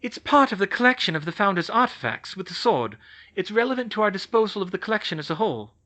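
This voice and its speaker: A man's voice: high voice, very animated, fast talker, pushed and bright, pitched above the performer's own voice, heavily acted. A man voicing an earnest, bookish young woman who argues eagerly. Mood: insistent.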